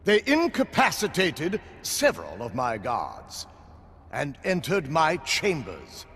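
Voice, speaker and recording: A man playing a wounded aristocratic lord in a video game, accusing formally in a very husky, labored voice. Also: on the high side, huge pitch swings, slow pace, a bit bright, a little hiss or hum, exaggerated voice. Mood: cold, accusing. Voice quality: very husky, breathy. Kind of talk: period drama game acting. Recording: long hall reverb.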